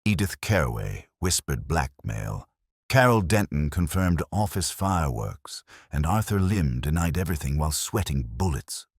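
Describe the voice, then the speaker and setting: Very deep, husky male audiobook narrator. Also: very animated, moderate pace, no echo, clean studio sound. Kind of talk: scripted first-person hardboiled detective narration.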